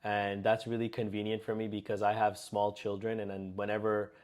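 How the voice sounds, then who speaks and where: A young man, answering a mock speaking test in measured, slightly hesitant replies. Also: fairly low pitch, monotone, a little mellow. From Spain, raised in Canada. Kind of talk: answering interview questions.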